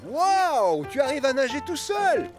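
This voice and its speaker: Swooping, playful swimming-coach parent. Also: female voice, sits high, big pitch swings, measured pace, loud music behind the voice. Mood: encouraging. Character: playful, encouraging parent coaching children to swim. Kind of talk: dubbed children's cartoon acting.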